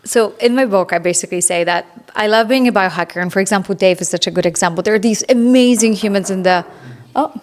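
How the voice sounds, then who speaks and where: A woman's voice: medium pitch, fast talker. A woman in her thirties talking casually as an interview guest, informal and chatty, often saying "like". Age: 30s. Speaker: podcast guest, biohacker and author.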